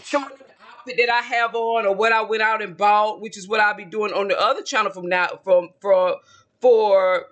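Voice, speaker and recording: A man's voice: high-pitched, steady tone, normal speed, fairly bright tone. A man in his late forties, a tarot video creator, talking in a self-assured, rambling solo monologue that keeps circling back to the same points. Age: 40s. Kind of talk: casual solo monologue to camera.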